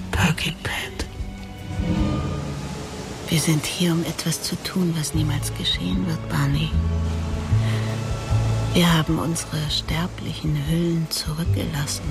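A seductive woman out of a hallucination, languid and enigmatic. Her words come very slowly in this radio drama, with loud music behind them. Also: sits low, vocal fry, exaggerated voice. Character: seductive blonde dream woman in a shared hallucination.

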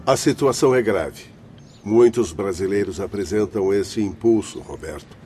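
A Brazilian man playing a grave, compassionate learned spirit doctor in a radio drama, speaking in a solemn, measured, formal way. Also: on the low side, a little mellow, faint music behind, close to an everyday voice.

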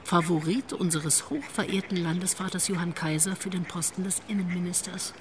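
A woman's voice: lowish voice, fairly quick, background noise, close to an everyday voice. An actress playing a wry, worldly police investigator in a radio drama, telling what she knows in a knowing manner. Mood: teasing, confiding. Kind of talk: naturalistic radio drama acting.

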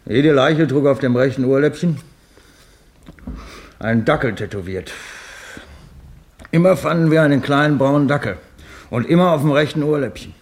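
An actor playing an earnest, slightly obtuse London detective, matter-of-fact and deadpan, in a 1950s German radio play. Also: male voice, mid-range voice, normal speed, long pauses, natural voice. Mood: puzzled, matter-of-fact.

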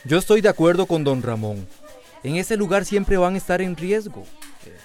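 An actor playing a worried, hesitant committee member with a Central American accent, in a radio soap opera. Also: male voice, sits high, moderate pace, darkish tone, lightly acted.

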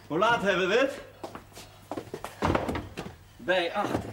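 An actor playing a genial, bossy husband hosting a party in a naturalistic 1970s TV drama. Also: male voice, high-pitched, slow, heavy background noise. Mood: busy, wry.

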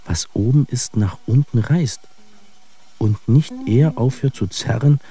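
Slow, very dark-voiced brooding dreamer. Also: male voice, medium pitch, breathy edge. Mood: brooding, wondering. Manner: brooding, deliberate, questioning. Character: brooding wondering male dreamer, doubting his own senses. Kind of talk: poetic radio drama monologue. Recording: faint music under the voice. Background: faint music.

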